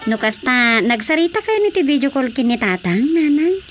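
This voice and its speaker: High, lively Ilocano village woman. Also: moderate pace, over loud music. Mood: animated. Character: talkative, concerned Filipino village woman. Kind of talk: Filipino radio drama acting.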